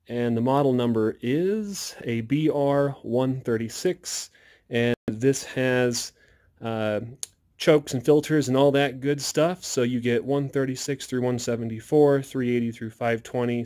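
A man with a mid-pitched voice gives an informal tech walkthrough. He sounds like a hobbyist presenter explaining his own setup step by step. He is recorded on a handheld phone from behind the camera. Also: a little mellow. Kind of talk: casual hands-on tech walkthrough.

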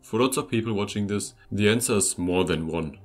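A young man whose first language is German reads a scripted lesson in a fairly low voice, chatty and upbeat, talking straight to his viewers. A quiet guitar track plays softly behind him throughout. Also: brisk. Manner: upbeat, conversational, addresses viewers directly. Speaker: language-learning video host. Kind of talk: scripted voice-over narration. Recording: voice-over with faint music behind.

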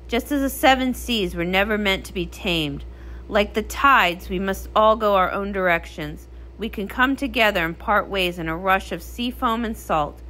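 A woman's voice: medium pitch, bright, forceful tone. A woman voicing a guarded, solemn sea queen with a curt, grave manner in a comic read-along.

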